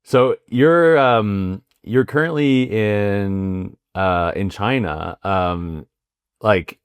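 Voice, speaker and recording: A man hosting a podcast interview, asking questions in a halting way with many fillers and restarts. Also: on the low side, clean tone, dry recording. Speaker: podcast host. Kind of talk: casual interview with read ad intro.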